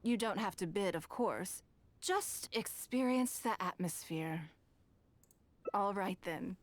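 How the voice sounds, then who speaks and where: An actress playing a poised, wealthy businesswoman in a video game, speaking in a composed, gracious, gently teasing way. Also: mid-range voice, expressive. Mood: inviting, composed. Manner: polished, composed, measured.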